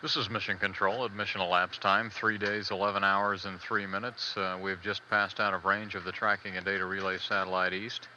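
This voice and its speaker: Low, flat-voiced man, mission control commentary. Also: muffled recording. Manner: measured, hesitant, matter-of-fact technical delivery. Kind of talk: live spaceflight status commentary, semi-scripted. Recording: band-limited 1980s broadcast audio, faint noise.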